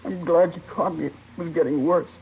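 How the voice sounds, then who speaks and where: A tormented teenage boy in a 1950s radio drama, pitched high and speaking in broken, desperate phrases. The old recording is narrow and tinny, with some background noise. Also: steady tone, a little fast, vocal fry. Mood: desperate relief.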